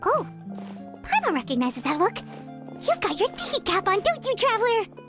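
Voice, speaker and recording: Squeaky, chirpy little fairy sidekick, very high and bouncy, game music behind. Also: female voice, wildly swooping pitch, normal speed, full character voice. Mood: curious. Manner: chirpy, talks about herself in third person. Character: tiny floating female fairy companion, chatty. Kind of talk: video game voice acting.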